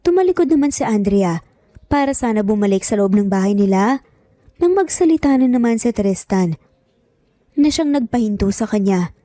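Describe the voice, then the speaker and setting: A woman's voice: a little high, lively intonation, moderate pace, long pauses, mellow, no echo. A woman with a Filipino accent reading a scripted story as a dramatic narrator.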